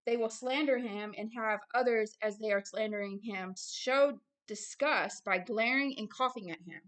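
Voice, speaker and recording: Emphatic, bright-voiced woman preaching. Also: a little high, normal speed. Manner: emphatic, blunt, repeats phrases for stress. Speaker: ministry founder and host reading and commenting on a book.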